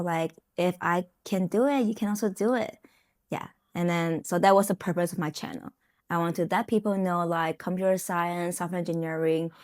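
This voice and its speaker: A young woman with a Taiwanese accent, her native Mandarin showing through small grammar slips, talks to camera in a relaxed vlog. Her voice sits a little low, and she keeps the flow loose with plenty of fillers. The sound is dry and close, with no room around her. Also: no echo. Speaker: software engineer and vlogger. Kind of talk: casual talk to camera.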